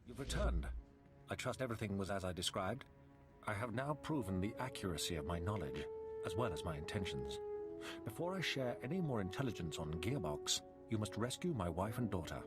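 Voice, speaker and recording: An actor playing a guarded, stern captive man in a video game, making demands in formal, measured words. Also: lowish voice, big pitch swings. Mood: stern, insistent. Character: guarded, stern male captive bargaining for his family. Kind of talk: video game cutscene acting. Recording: loud game music behind.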